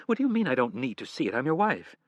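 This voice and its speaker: A man's voice: medium pitch, animated, a little fast, dry recording, highs cut off, natural voice. A man voicing a fiery young wife who fires off demanding, accusing questions, in an audiobook reading. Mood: angry.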